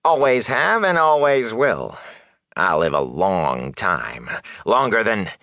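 Man playing ragged storybook peddler, raspy, whining, indignant, jittery, very swooping pitch. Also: very animated, slow, sharp tone, dry recording, full character voice. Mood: indignant, boastful. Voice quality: rasping, sometimes dropping to a hoarse whisper. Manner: rustic dialect, nervous, wheedling rhetorical questions.

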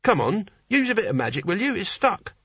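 A man's voice: sits high, very animated, a little fast, a bit bright, slightly rough, no echo, muffled recording, voice pushed up, heavily acted. A man voicing an earnest, polite young would-be barbarian hero in an audiobook, eager and a little tentative. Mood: eager, urging. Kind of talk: audiobook character dialogue.

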